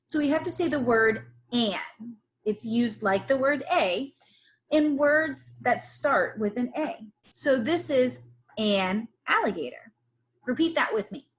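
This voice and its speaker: A woman teacher leading a reading lesson for young children, instructing in an encouraging way with pauses for them to repeat. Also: fairly high pitch, lively intonation, low-quality recording. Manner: instructional, encouraging, pausing for repetition. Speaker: early-grades teacher. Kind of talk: slow read-aloud phonics lesson for young children.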